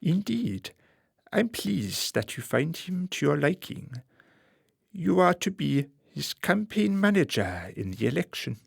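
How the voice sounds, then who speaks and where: A man acting a suave, calculating political fixer in a radio play, speaking formally and deliberately with quiet menace. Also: fairly high pitch, very animated, creaky, breathy edge, dry recording, studio quality, full character voice. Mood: controlling, sly. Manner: formal, measured, deliberate phrasing.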